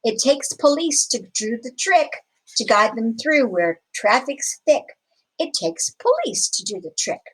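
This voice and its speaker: Slow, higher-voiced woman reading a storybook. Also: big pitch swings, breathy edge, low-quality recording. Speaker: storybook reader. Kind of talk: expressive children's picture book read-aloud.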